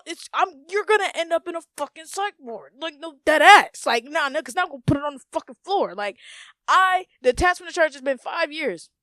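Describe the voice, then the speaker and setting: A young woman with a slightly higher voice talks straight into the mic on her own podcast, blunt and profane, circling back to repeat her points. The sound is dry and close, with no room around it. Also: fairly bright tone, no room sound. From United States, Haitian family. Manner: blunt, profane, emphatic, often repeats phrases. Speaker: podcast host. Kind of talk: casual solo podcast monologue.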